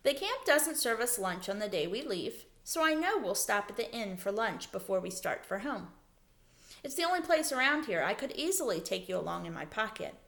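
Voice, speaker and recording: Lady reading a camp kid, a boy, from a storybook, wary and making deals, medium pitched. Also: sing-song, normal speed, a bit bright. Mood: proposing. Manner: questioning, deal-making. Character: shrewd, wary young boy camper from a children's storybook. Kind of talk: storybook read-aloud.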